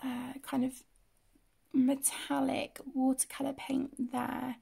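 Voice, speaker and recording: A woman with the flu, croaky and often half-whispering, casually showing her finished colouring pages as the channel host. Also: high-pitched, completely flat delivery, slow, mellow. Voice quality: hoarse, often drops to a whisper. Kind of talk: casual flip-through commentary. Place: indoors at a table.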